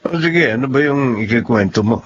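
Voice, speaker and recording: A man acting an animated, honest Filipino family man. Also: mid-range voice, brisk, a little hiss or hum, natural voice. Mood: playful, affectionate. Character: honest, forgiving family man, gentle husband and son. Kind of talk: Filipino radio-style drama acting. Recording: dull lo-fi audio with music behind.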